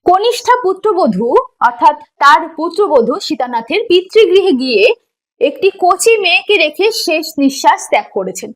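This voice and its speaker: A woman's voice: very high voice, sing-song, moderate pace. A woman teacher giving an explanatory online lesson in a didactic way, posing questions and answering them herself, and repeating key points. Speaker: teacher of an exam preparation course.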